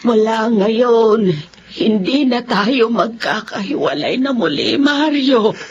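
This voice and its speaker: Slow-talking, tender long-lost older sister. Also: female voice, fairly high pitch, animated, darkish tone. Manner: slow, sentimental, dramatic storytelling. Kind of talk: Filipino radio drama acting. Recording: dull radio sound with background noise.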